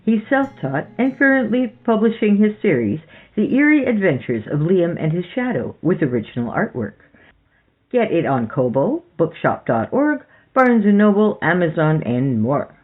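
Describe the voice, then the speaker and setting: A podcast host giving scripted horror narration in a theatrical, measured storytelling manner. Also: mid-range voice, very animated. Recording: muffled lo-fi sound under music. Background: background music.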